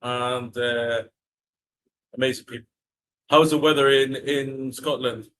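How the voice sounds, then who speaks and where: A man's voice: mid-range voice, steady tone, takes it slowly, fairly bright tone. A man from the Canary Islands who works as a hotel rep, chatting enthusiastically on a live stream. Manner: upbeat, enthusiastic, laughs a lot. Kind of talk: casual live-stream chat.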